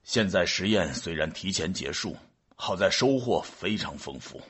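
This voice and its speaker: Radio drama chief scientist: male actor, low, husky voice, calm authority, measured official delivery. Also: heavily acted. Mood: official, pleased.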